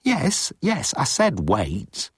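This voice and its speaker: A man's voice: mid-range voice, huge pitch swings, drawn-out words, slightly rough, no room sound, studio quality, voice pushed up, heavily acted. A man voicing a timid young alien crewman, anxious and miserable, in an audiobook story. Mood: nervous. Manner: miserable, anxious, blurting protests.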